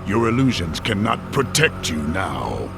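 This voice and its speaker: A grim holy warrior speaks with stern resolve. His voice is extremely deep and gravelly, with a solemn weight. A loud orchestral score plays behind him. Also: very animated, measured pace, over music, exaggerated voice. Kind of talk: game cutscene acting and narration.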